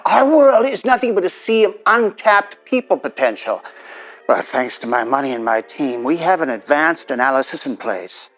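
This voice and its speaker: An actor playing a domineering, wealthy 1950s presidential candidate, pitching grandly and persuasively over a telephone. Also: male voice, sing-song, normal speed, fairly bright tone. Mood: grandiose. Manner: grandiose, persuasive salesman patter. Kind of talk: audio drama acting, telephone dialogue. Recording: dull telephone-style sound, faint music.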